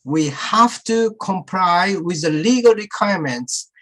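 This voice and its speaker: A woman's voice: lowish voice, animated, a little slow. A woman playing a worried, rule-minded member of a school faculty in a screenplay table read.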